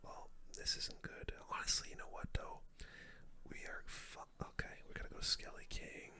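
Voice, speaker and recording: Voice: moderate pace, bright, forceful tone. A young adult streamer whispering casual, slangy gaming commentary in an ASMR style, close to the microphone. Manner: casual gamer slang, addresses viewers as dude and guys. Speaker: video game streamer.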